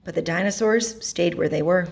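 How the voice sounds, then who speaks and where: A woman's voice: on the low side, normal speed, vocal fry, breathy edge. Woman reading a children's storybook expressively. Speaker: storybook reader. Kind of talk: expressive children's read-aloud narration.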